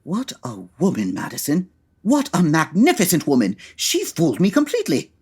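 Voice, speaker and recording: A man's voice: a little high, wildly swooping pitch, normal speed, darkish tone, husky, full character voice. A man playing a brilliant, proud detective in old-time radio drama style, formal and articulate. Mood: admiring, earnest.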